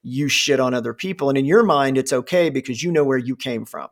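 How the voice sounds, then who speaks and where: A man's voice: mid-range voice, fairly quick, gravelly. A man in his forties talking casually, rambling with lots of fillers and restarts and swearing freely. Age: 40s. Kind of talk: casual conversation.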